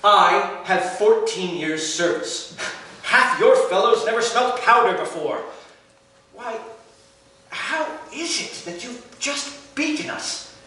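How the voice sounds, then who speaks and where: A man's voice: high-pitched, expressive, takes it slowly, bright, forceful tone, heavily acted. Man playing weary mercenary soldier, theatrical, courteous, matter-of-fact, stage comedy, far from mic, heavy room echo. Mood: indignant, scornful. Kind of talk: live stage acting of a period comedy. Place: indoor stage in an arts center, recorded from afar. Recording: distant, very echoey theater recording, faint noise.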